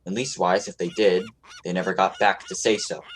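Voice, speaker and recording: A man acting in a radio play as an earnest, determined young sea captain, speaking a little haltingly. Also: low voice, fairly even intonation, a little hiss or hum, close to an everyday voice. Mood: earnest, worried.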